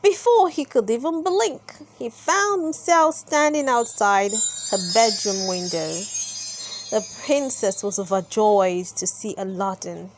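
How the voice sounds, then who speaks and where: A woman narrating a children's storybook aloud in an expressive, deliberate storytelling manner. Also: a little high, faint music behind.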